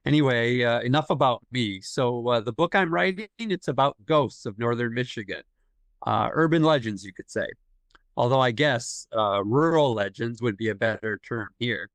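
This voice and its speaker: A shy, socially awkward writer, played by a man in an audio drama, speaking at medium pitch. His delivery is halting and apologetic, and the recording is close and dry. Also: dry recording, close to an everyday voice. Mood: shy, hesitant. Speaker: actor in an audio play. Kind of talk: naturalistic audio drama dialogue.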